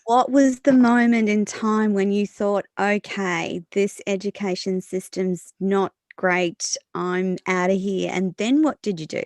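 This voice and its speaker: A woman's voice: mid-range voice, steady tone, measured pace, few pauses, a little mellow, dry recording. A woman hosting an interview, asking questions and giving short affirmations. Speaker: interviewer, host.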